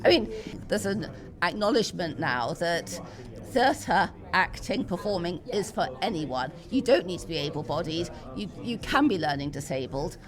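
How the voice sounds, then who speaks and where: A woman's voice: fairly low pitch, very animated, normal speed. Emphatic British woman, busy crowd behind. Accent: British English. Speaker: interview guest, pantomime enthusiast and parent. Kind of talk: conversational podcast interview. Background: chatter of people at a venue.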